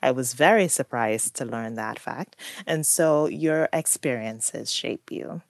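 A woman from Trinidad and Tobago, a psychologist and financial therapist, explaining in a measured way as a guest on a radio interview. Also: very deep voice, vocal fry, no echo, studio quality.

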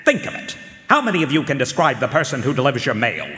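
A man's voice: medium pitch, very animated, fast talker, pushed and bright. A man playing a shrewd private eye in a live radio drama, questioning in a probing, theatrical way. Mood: shrewd, leading. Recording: echoey live hall.

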